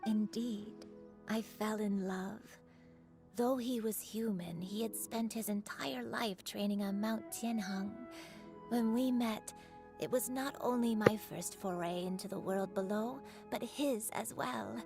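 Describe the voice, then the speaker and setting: An actress playing a gentle, remorseful old crane spirit in human form, telling a story in a measured, confessional way in a video game. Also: female voice, mid-range voice, creaky, music in the background. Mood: tender, regretful. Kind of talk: scripted video game voice acting, storytelling monologue.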